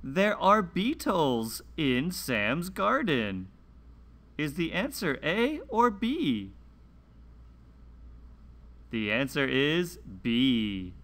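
A man presents a read-along lesson for young children. His delivery is very slow and deliberate, with sing-song emphasis and lively swings in pitch. The tone is bright and forward, and each word is carefully enunciated. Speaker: teacher hosting a children's read-along show.

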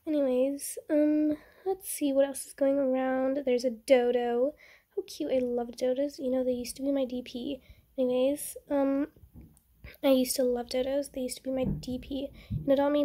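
A young woman with a high voice chats her way through a game, rambling and easily excited. Her tone is soft and mellow, and a cold leaves her nose a little stuffy. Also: normal speed. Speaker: gaming channel host. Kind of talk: casual game commentary.

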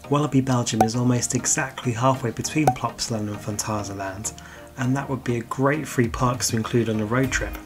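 A man from the UK, a travel vlogger, giving an upbeat, chatty scripted voice-over about theme park road trips. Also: mid-range voice. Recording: music bed under the voice.

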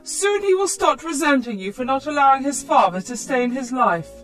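A woman's voice: high-pitched, expressive, fairly quick, faint music behind, voice pushed up. A woman voicing a disapproving older parent, reproachful and pleading, in a story. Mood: scolding.

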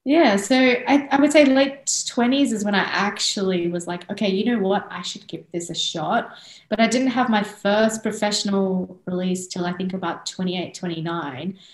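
A woman's voice: mid-range voice, normal speed, echoey room, rough recording. A woman in her thirties with an Australian accent, chatting casually and animatedly as a guest on a video call. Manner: animated, frequent laughs and restarts. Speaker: guest singer-songwriter. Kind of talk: casual interview chat. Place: small room indoors. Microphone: headset on video call.

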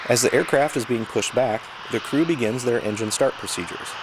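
A man giving scripted educational narration in a measured, explanatory way. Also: medium pitch, background noise. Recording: loud vehicle ambience behind narration.

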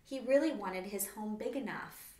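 A woman narrates a children's storybook with expressive read-aloud delivery. Her voice is mid-pitched, and the small room adds a clear echo, as if recorded a few steps from the camera. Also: moderate pace. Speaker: children's author reading her book. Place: small plain room indoors. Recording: roomy, echoing indoor room.

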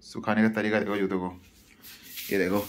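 Man, low voice, travel vlogger, casual playful chatter, faint background noise. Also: flat delivery, fairly quick. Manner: playful, chatty, mixing in English words. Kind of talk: casual vlog chatter to viewers.

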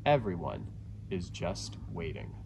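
A man's voice: low voice, wildly swooping pitch, a little slow, vocal fry. A man reading a rhyming children's picture book aloud with theatrical, dramatic emphasis, like a naturalist storyteller. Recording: outdoor recording with background ambience noise.

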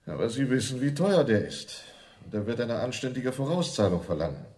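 An actor playing a cool, unscrupulous defence lawyer with a calculating manner, in radio crime drama style. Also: male voice, medium pitch, big pitch swings, a little fast, darkish tone, lightly acted.

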